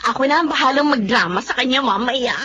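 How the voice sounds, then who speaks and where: A woman's voice: high-pitched, animated, quick pace, sharp tone. A woman playing a carefree, cajoling daughter in her twenties with a Filipino accent, in a radio drama with muffled sound. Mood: cajoling, sweet. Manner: cajoling, pouty. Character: carefree, cajoling 24-year-old daughter, pouty.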